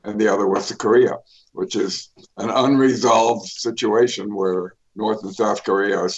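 Older man, low voice, hesitant. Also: steady tone, normal speed, highs cut off. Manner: rambling, many fillers and restarts. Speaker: author guest. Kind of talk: radio interview.

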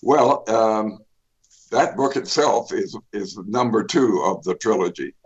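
An older man, an author, telling his life story in a radio interview, pausing often with fillers and restarts. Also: fairly low pitch, expressive, muffled recording. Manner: rambling, many fillers and restarts. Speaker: author guest.

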